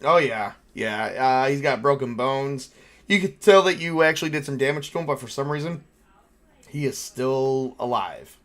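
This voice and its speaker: A man with a mid-pitched voice runs a tabletop horror game. He talks casually and haltingly, improvising his narration and rulings, and swears freely. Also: lively intonation, fairly bright tone. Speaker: game master and player.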